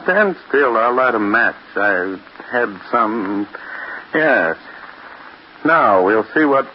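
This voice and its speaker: A man's voice: medium pitch, animated, slow. An actor playing a stubborn, irritable chemist obsessed with his work, curt and imperious in 1930s radio drama style. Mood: impatient, scornful. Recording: dull, faintly noisy old radio recording.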